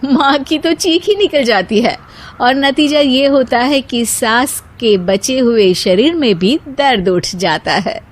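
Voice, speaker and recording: A woman's voice: high-pitched, slow pace. A woman narrating a scripted moral story as a storyteller.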